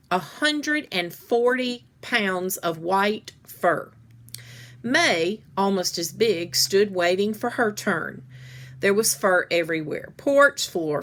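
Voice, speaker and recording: A woman reading a children's story aloud in a measured, deliberate storytelling way. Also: medium pitch, fairly bright tone. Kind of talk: read-aloud story narration.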